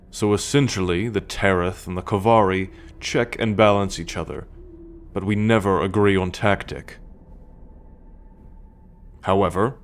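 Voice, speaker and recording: A man playing a cocky, teasing male alien scout warrior in an ASMR roleplay, talking straight to the listener with a drawl. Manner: teasing, slow and drawling, with low chuckles.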